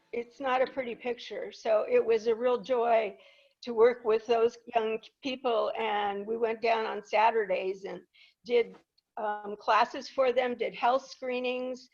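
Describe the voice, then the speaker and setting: A woman's voice: on the high side, gravelly, lo-fi sound. An older woman raised in Peru telling humorous, chatty stories about her life to a live audience. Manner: humorous, chatty, self-deprecating. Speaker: guest speaker. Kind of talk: anecdotal storytelling to a live audience.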